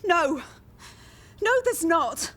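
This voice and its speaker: An actress playing a distraught, dramatic amateur baker in a radio soap. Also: female voice, extremely high pitch, sing-song, extremely slow, a bit bright, full character voice. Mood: distraught, panicked.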